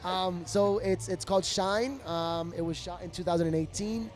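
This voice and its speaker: A man who is a dance guest, chatting casually and quickly with plenty of fillers, recorded outdoors at an event. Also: high-pitched. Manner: quick, energetic, lots of fillers. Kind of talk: casual interview chat.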